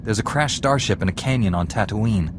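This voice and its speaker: A man's voice: on the low side, fairly quick, background noise, lightly acted. A man playing an earnest young Jedi knight. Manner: earnest, steady. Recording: game audio with music under it.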